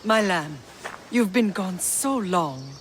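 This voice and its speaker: A woman's voice: medium pitch, expressive, takes it slowly, a little hiss or hum. An actress playing a tender, anxious mother of a grown warrior in a video game cutscene.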